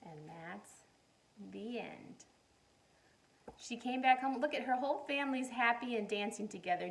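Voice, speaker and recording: A woman teacher reading a picture book aloud to young children, animated and encouraging, often putting questions to her listeners. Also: on the high side, creaky, low-quality recording. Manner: encouraging, animated, asks questions and pauses for answers.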